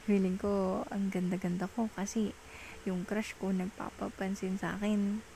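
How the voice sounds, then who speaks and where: A woman playing an affectionate, reassuring girlfriend in an ASMR roleplay, with a Filipino accent and soft, breathy speech that slips into whispers. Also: medium pitch, level tone, slight background noise. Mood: fond, teasing. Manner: slow, tender, mixes Tagalog with English. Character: affectionate, patient, reassuring young girlfriend soothing a partner.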